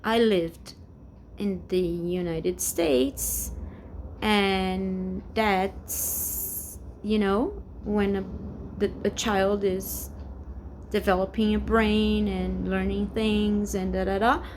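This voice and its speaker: A Brazilian woman in her forties talks through a rambling personal vlog in English, very slowly, at a middle pitch. She hesitates often and searches for her words, with her Portuguese accent clear throughout. A faint hum of room ambience sits behind her at home. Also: little pitch movement. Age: 40s. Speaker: vlogger. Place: home, indoors.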